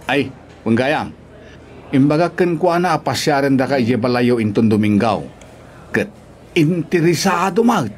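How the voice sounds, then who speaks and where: Male actor as cocky matchmaking buddy, Ilocano accent, animated highish pitch, drawn-out pace, radio drama. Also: a little hiss or hum, close to an everyday voice. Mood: teasing. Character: teasing, matchmaking male workmate, mischievous and cocky.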